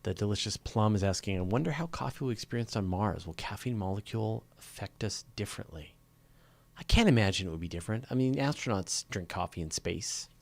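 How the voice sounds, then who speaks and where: A man's voice: fairly low pitch, expressive, brisk, creaky. A man hosting a casual live question-and-answer show, talking in an informal, joking, conversational way. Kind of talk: casual live Q&A chat with viewers.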